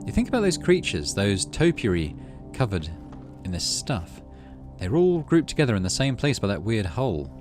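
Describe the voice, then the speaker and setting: A man's voice: fairly low pitch, very animated, moderate pace, a little mellow, soft background music. A man hosting a gaming video, reading story text aloud and adding muttered asides as he plays.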